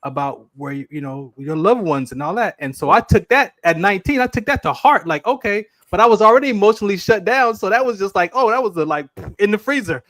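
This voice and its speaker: A man's voice: high voice, lively intonation, fairly quick, fairly bright tone, dry recording. A man hosting an interview, speaking earnestly with repeated words and frequent "right?". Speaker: host. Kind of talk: casual interview talk.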